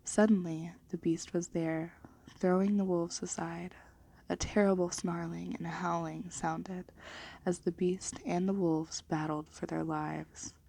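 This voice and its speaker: A woman reading a children's storybook in a gentle, hushed ASMR style, dropping into whispers. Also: fairly low pitch, vocal fry, a little hiss or hum. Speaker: ASMR reader.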